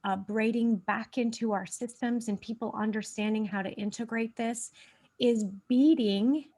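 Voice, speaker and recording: A woman's voice: fairly high pitch, fairly even intonation, moderate pace, warm tone. Stuffy-nosed woman hosting a spiritual talk. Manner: rambling, many fillers and restarts. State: stuffy nose. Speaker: host. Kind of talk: casual spiritual livestream talk.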